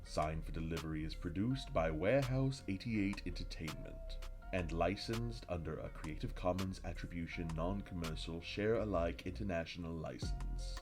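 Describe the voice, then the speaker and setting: A man's voice: deep voice, moderate pace, over music. A man reading scripted end credits for an audio drama as the announcer.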